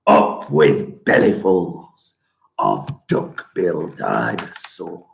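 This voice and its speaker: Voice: sits low, sing-song, drawn-out words, gravelly, roomy sound, noisy background, exaggerated voice. A storybook reader voicing fierce, greedy tyrannosaurs who are hungry for the hunt. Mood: bloodthirsty chanting. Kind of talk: storybook character voice.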